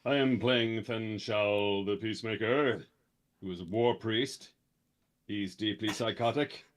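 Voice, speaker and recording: A man playing in a tabletop game stream, speaking in a deadpan way. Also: fairly low pitch, measured pace. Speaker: player. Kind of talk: casual chat.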